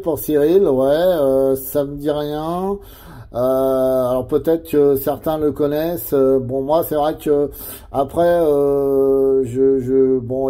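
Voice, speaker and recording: Man with very dark, mellow voice. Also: on the high side. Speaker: CB radio operator. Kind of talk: casual radio chat.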